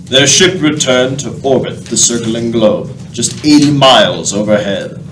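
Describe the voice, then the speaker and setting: A man's voice: medium pitch, a little slow. A man narrating a scripted radio play. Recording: echoey, distant, noisy.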